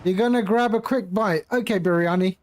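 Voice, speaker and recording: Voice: mid-range voice, brisk, a little mellow. A flight simulator streamer giving casual live commentary, patiently explaining and repeating phrases while chatting with viewers.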